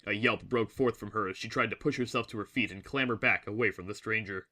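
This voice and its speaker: Man, story narrator, slightly low pitch, bright forceful tone, measured, solemn, literary scripted delivery.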